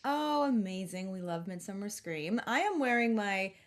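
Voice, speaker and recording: A woman's voice: mid-range voice, very animated, normal speed. A woman co-hosting a podcast in casual, comedic chat, swearing freely and acting out little quotes. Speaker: podcast co-host.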